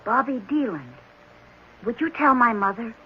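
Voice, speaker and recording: A woman's voice: on the high side, expressive, moderate pace, natural voice. A woman playing an earnest, polite and determined young boy in a 1970s radio drama. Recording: dull, slightly noisy 1970s radio recording.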